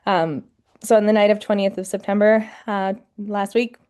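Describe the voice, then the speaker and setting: A woman's voice: medium pitch, little pitch movement, normal speed, a little mellow, smooth. A woman from New York City giving an informal academic webinar lecture over a video call, with frequent fillers. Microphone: computer mic on a video call.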